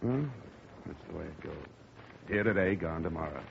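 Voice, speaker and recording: A man's voice: extremely low pitch, moderate pace, creaky, a little hiss or hum, heavily acted. A man playing a wisecracking, hard-boiled insurance investigator who narrates his case in a 1950s radio drama. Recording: dull lo-fi 1950 radio transcription with music.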